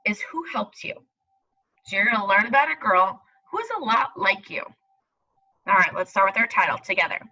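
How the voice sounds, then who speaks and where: A woman's voice: mid-range voice, bright, forceful tone, muffled recording. A woman teacher leading a read-aloud lesson for young children, explaining step by step and speaking directly to them. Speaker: elementary school teacher.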